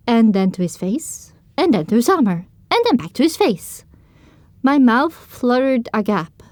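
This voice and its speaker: A woman's voice: on the high side, darkish tone, no echo. A woman narrating an audio drama in the first person, reading scripted storytelling prose.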